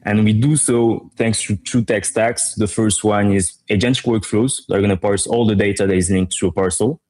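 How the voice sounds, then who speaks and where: A man from Morocco speaking as a startup co-founder in an interview, pitching his business conversationally with emphatic repetition. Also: steady tone, moderate pace, darkish tone.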